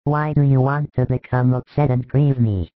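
Male reader as a grave, loving spiritual teacher for a storybook; emphatic pleading, mid pitch, narrow-band sound. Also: steady tone, normal speed, no room sound, natural voice. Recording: thin phone-like band, dry.

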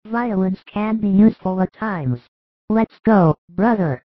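A man's voice: high voice, a little slow, no echo, voice pushed up. A man voicing a fiery young apostle, eager and combative, reading dialogue for an audiobook. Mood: fired up. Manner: heated, rallying, combative. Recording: dull lo-fi dry recording.

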